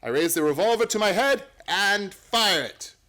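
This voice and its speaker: An actor playing a brisk, self-assured gentleman detective in an old-time radio play, theatrical and precise in his formal explanations. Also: high-pitched, lively intonation, slight background noise. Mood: excited.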